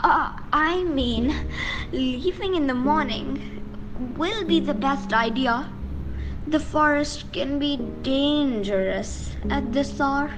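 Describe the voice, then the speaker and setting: A woman playing a sinister noblewoman who feigns kindly concern in a radio play. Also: high-pitched. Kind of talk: amateur radio play acting. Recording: clear background noise.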